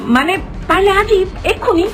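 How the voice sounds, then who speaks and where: A woman's voice: very high voice, expressive, moderate pace, music in the background. A woman voicing a possessed young woman in a cartoon, speaking in an insistent, pushy, defensive way.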